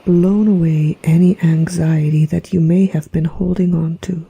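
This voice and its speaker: Woman, guided meditation, very mellow dark tone, low pitch, soft near-whisper, soothing, hypnotic. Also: steady tone, measured pace, poor audio. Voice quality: soft, breathy, partly whispered. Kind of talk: slow guided meditation, scripted narration. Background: relaxation music.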